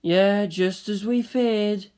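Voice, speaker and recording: A narrator voices a male head of state in an adventure tale. The delivery is solemn and uneasy, at a medium pitch. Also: slow, dark tone, close to an everyday voice. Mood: solemn, alarmed. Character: solemn, alarmed male national leader.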